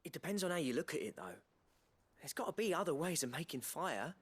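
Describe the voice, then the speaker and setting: An actor playing a loyal, plain-spoken companion in a video game, earnest and informal. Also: male voice, on the high side, big pitch swings, fairly quick, slightly rough. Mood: encouraging. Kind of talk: video game drama acting.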